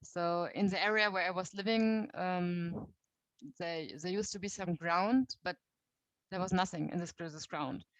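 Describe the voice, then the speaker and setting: A woman's voice: fairly low pitch, fairly even intonation, a little slow, no echo. A young woman from Germany with a German accent, giving a friendly talk on a call and hunting for words with many fillers. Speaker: guest speaker. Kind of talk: online talk to a meeting. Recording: dull lo-fi audio.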